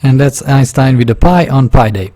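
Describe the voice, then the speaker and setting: A man from Hungary with a Hungarian accent, narrating a drawing tutorial in a casual, halting way, searching for words and correcting himself. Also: medium pitch, mellow.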